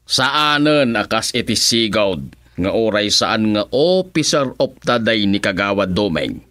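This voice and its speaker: A man's voice: fairly low pitch, animated, unhurried, a bit bright, no room sound, studio quality. A man with an Ilocano accent playing a cocky, teasing village councilman in a radio comedy-drama, full of exclamatory banter. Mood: sly, amused.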